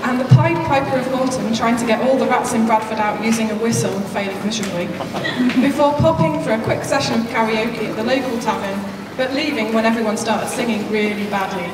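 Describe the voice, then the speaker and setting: A woman narrator reading scripted pantomime storytelling aloud, projected and deliberate. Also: on the high side. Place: large indoor hall with audience. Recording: very echoey, noisy hall from far off.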